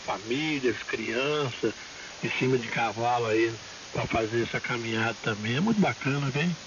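Brazilian male, medium pitch, informal radio talk, distant and dulled under strong hiss. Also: normal speed. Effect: shortwave ham radio transmission. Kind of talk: casual chat over ham radio. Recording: far-off, dull shortwave with loud hiss.

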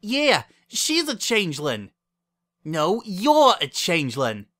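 A man voicing an indignant female pony in a story, loud and accusatory in manner. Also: high-pitched, huge pitch swings, takes it slowly, pitched above the performer's own voice, exaggerated voice. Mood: indignant, accusing.

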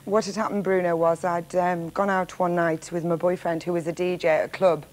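Woman on a dating show telling a car story, bit low voice, giggly, lots of ums. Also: flat delivery, fairly quick, a little mellow. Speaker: contestant. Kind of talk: telling an anecdote on a game show. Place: TV studio.

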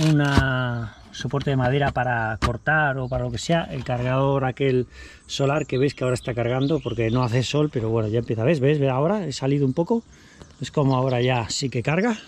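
A man's voice: medium pitch, darkish tone, a little hiss or hum. A man, casually showing his kit outdoors by his car, chatty and repeating himself as he talks to an action camera held at arm's length. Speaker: vlogger. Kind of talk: casual show-and-tell vlog.

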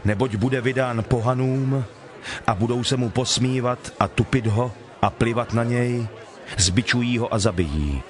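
A man playing Jesus as a solemn, authoritative teacher in a dramatized scripture reading, speaking in measured declarations. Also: mid-range voice, a faint crowd, close to an everyday voice. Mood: grave. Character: Jesus, solemn teacher telling parables and instructing followers. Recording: music behind the voice.

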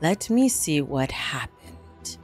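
A woman livestreaming as a theatrical, witchy horror hostess, with dramatic, drawn-out, formal phrasing and a coy temper. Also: deep voice, sing-song, a little mellow, husky, heavily acted. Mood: measured, welcoming. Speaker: game streamer. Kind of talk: livestream chat in a put-on horror persona. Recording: music behind the voice.

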